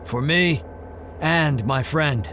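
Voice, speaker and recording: An actor playing a bold, steady wasteland monster hunter in an audio drama, with a dry, confident, commanding manner. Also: male voice, a little high, slow, background noise.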